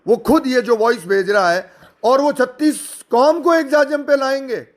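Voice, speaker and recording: High-pitched, sarcastic, argumentative man. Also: normal speed. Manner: sarcastic, mocking; indignant, argumentative, rhetorical questions. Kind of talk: informal spoken rebuttal, addressing listeners directly.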